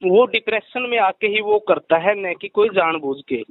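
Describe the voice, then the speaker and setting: A man's voice: high voice, normal speed, dry recording. A man giving explanations in a phone interview as an investigator, emphatic and repeating his phrases. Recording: tinny, dry phone-call line.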